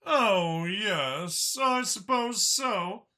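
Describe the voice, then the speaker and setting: A man's voice: high voice, lively intonation, very slow, bright, forceful tone, voice pushed up, heavily acted. A man voicing a proud, smug male military commander in an audiobook, with a drawling, boastful manner. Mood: amused. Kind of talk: audiobook character dialogue.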